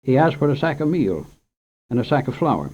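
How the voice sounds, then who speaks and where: A man reading a short story aloud in an expressive, unhurried way as the storyteller of a children's radio program. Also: mid-range voice, breathy edge. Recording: muffled old radio recording.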